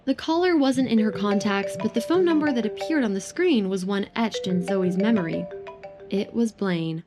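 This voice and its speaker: A woman's voice: mid-range voice, normal speed, music in the background. A woman narrating a scripted romance drama as its storyteller.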